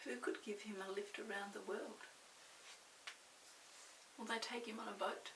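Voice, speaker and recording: An older woman tells a picture-book story to young children at a very slow pace. She pauses to describe and question as she goes. The recording is echoey and a little distant from the mic, with faint hiss behind her. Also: mid-range voice, fairly even intonation. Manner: unhurried, pausing to describe pictures and ask questions.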